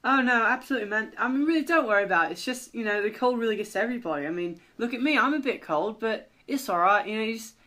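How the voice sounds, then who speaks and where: Man voicing easygoing British male duck, polite, chatty, bright tone, improvised storytelling. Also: high voice, lively intonation, fast talker, hardly any pauses. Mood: reassuring. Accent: British, put on.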